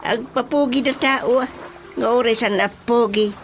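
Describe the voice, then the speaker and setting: An actor playing a vain, easygoing village husband in an Ilocano radio drama comedy, drawling and self-satisfied with an Ilocano accent. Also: male voice, very high voice, steady tone, moderate pace, a bit bright, faint music behind. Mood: boastful.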